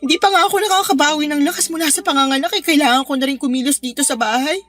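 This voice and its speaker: Woman acting a weary, high-voiced young mother. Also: fairly bright tone, faint music behind. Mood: weary, aggrieved. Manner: weary, emotional, halting delivery with sighs. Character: exhausted, frustrated young new mother pouring out her troubles. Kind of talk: audio drama acting.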